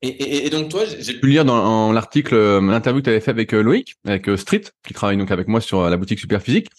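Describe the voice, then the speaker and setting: A man's voice: fairly low pitch, expressive, fast, no room sound. A man hosting a podcast, asking his guest questions in a casual chat. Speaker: podcast host.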